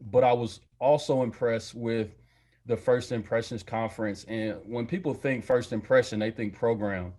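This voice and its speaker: A man's voice: medium pitch, steady tone, moderate pace, mellow. A man hosting a church leadership podcast, playful and slangy as he introduces his guest. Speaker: podcast host. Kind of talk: podcast interview.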